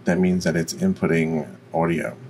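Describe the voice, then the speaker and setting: A man with a deep voice giving an informal, explanatory software tutorial. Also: flat delivery, normal speed, slight background noise. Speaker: tutorial presenter.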